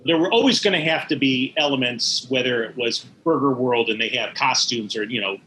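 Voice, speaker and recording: A man's voice: medium pitch, fairly quick, bright, forceful tone, a little hiss or hum, low-quality recording. A man being interviewed as an animator, explaining his past work in careful detail as he recollects it.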